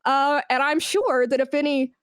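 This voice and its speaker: A woman's voice: high voice, normal speed, no room sound, clean studio sound. A woman talking informally as an interview guest, explaining things with examples and emphasis. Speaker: esports brand manager, podcast guest. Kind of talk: conversational interview.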